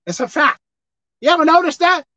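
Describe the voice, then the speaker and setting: A woman preacher delivering a sermon with animated, call-and-response delivery, acting out bits of dialogue. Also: very high-pitched, a little fast, no echo. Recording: dull lo-fi dry sound.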